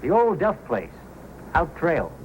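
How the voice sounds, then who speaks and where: An actor playing a grudging, folksy frontier local in old-time radio style. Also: male voice, on the high side, huge pitch swings, takes it slowly, heavily acted. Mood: grumbling. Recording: noisy old radio recording.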